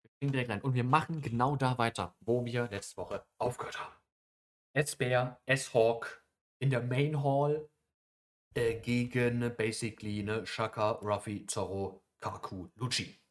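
A young man co-hosting a podcast, chatting casually through a comic chapter with lots of fillers and quick asides. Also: mid-range voice, steady tone. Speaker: podcast co-host.

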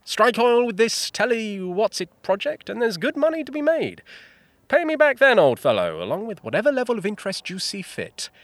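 An actor playing a flippant, jovial upper-class 1930s English gentleman full of period slang in an audio drama. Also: high voice, very animated, moderate pace, dry recording, heavily acted. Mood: smug, generous.

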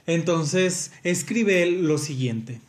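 A man's voice: on the high side, lively intonation, slow. A man with a Mexican accent, chatting casually and playfully reading aloud as a podcast co-host.

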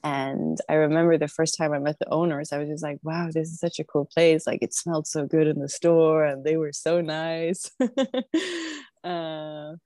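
A woman from southern Sweden, a podcast guest talking casually from home over an online call, thoughtful and unhurried, often pausing to think. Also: deep voice, little pitch movement, mellow, no echo. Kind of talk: casual conversational interview. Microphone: remote online call.

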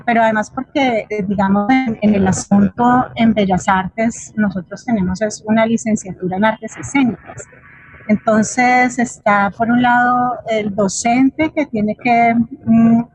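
A middle-aged Colombian woman speaking as an interviewed theatre teacher, explaining reflectively and haltingly, with frequent little checking questions. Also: a little high, a little mellow, no echo, rough recording. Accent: Colombian Spanish. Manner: explanatory, hesitant, often adds checking tag questions. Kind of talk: reflective spoken answer in an online forum.

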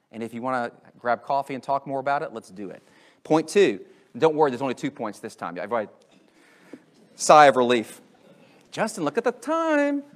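A man with an American accent preaching an Easter sermon as a pastor, emphatic and full of rhetorical questions, swinging between loud and hushed delivery. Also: medium pitch. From United States.

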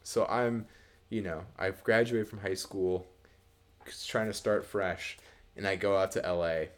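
A young American man with a deep voice talks as a podcast co-host. His delivery is casual and comedic, rambling along haltingly with the odd casual swear. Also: steady tone. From American Midwest.

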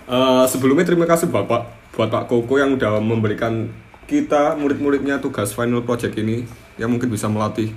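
A man's voice: medium pitch, brisk. A young man with a Malang Javanese accent, hosting a casual student podcast in an easygoing, self-deprecating way. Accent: East Javanese, Malang. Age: young adult. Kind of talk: casual chat. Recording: echoey room, faint noise.

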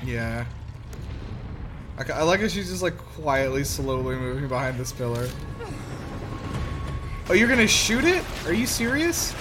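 A man's voice: a little high, big pitch swings, measured pace, long pauses, background noise. A man giving casual, wry film commentary as a podcast co-host, exclaiming and swearing freely.

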